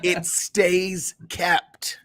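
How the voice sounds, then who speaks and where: An American man with a slightly raspy voice co-hosting a lighthearted morning show, bantering casually in a joking, teasing way. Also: sits high, completely flat delivery, extremely slow, fairly bright tone.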